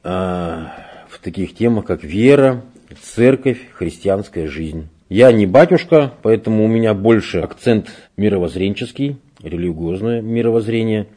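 A man working as a parish catechist, answering interview questions in an unhurried, explanatory way with frequent hesitations. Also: lowish voice, expressive, warm tone. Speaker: church catechist. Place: parish room.

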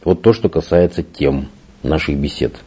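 A man working as a parish catechist, answering interview questions in an unhurried, explanatory way with frequent hesitations. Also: very deep voice, lively intonation, mellow, breathy edge. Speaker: church catechist. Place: parish room.

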